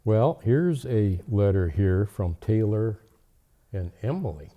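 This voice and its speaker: A man playing a jolly, gentle old Santa Claus, reading storybooks and chatting in an unhurried, deliberate way. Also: on the low side, lively intonation, phone-quality sound. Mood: genial. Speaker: storyteller host. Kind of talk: storybook reading and casual chat.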